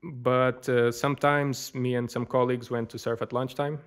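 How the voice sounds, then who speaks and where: A man with a Portuguese accent talks in a loose, informal way, as a guest on a podcast would. His English is conversational and animated when he gets onto things he enjoys. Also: medium pitch, normal speed. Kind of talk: casual chat.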